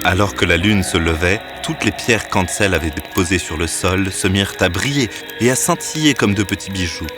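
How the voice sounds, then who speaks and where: A man's voice: deep voice, a little fast, few pauses. A man narrating a scripted fairy tale as a storyteller. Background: background music.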